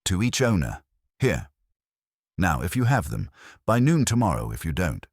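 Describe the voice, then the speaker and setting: Man playing an older male detective, very low voice, lively intonation, pompous, commanding, mystery audiobook. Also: moderate pace, dry recording, clean studio sound, heavily acted. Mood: stern, commanding. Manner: pompous, commanding, ornate phrasing. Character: imperious, unhurried, erudite older male private detective. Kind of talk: audiobook detective story dialogue.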